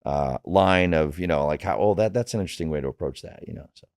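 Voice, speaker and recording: A man's voice: a bass voice, fast, a little mellow, dry recording, studio quality. A man who works as a voice actor, chatting casually in a discussion, feeling his way through his thoughts in a hesitant manner. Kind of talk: casual chat about favorite comics and films.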